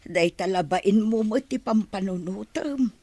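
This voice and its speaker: A woman's voice: medium pitch, a little slow, mellow, no room sound. A woman acting a nagging, fed-up village mother with an Ilocano accent in a radio comedy drama. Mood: coaxing, scheming.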